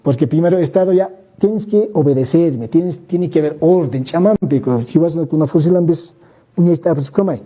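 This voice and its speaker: Man, very dark tone, husky, conversational explaining, frequent restarts. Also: a little high, brisk. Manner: thinking aloud, many restarts and "¿no?" tags. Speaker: guest speaker. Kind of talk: conversational explanation, mixing Spanish and Aymara.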